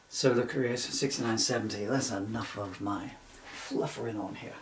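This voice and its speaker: Man, mid-pitched voice, informal comic book reading, rambling asides, giggly delivery, roomy distant recording. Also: sing-song, a little slow, a little hiss or hum. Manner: rambling, often breaking into giggles at own jokes. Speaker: author reading his parody book. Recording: roomy echo, distant microphone.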